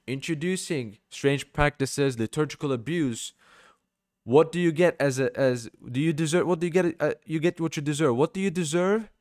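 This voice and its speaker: A man's voice: mid-range voice, clear, resonant tone, no echo, studio quality. A man giving informal religious commentary and scripture readings, emphatic, with colloquial asides and rhetorical questions.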